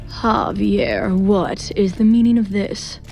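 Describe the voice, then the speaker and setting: A woman voicing a cavalier older king and cold father in an audio drama, slow, haughty and unapologetic. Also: mid-range voice, gravelly, a little mellow, faint music behind. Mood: displeased.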